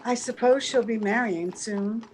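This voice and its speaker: A woman playing a wistful, gentle, secretly sad young-looking woman in an old-time radio drama. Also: on the high side, measured pace, close to an everyday voice. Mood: resigned. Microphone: video call. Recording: faintly noisy video-call recording.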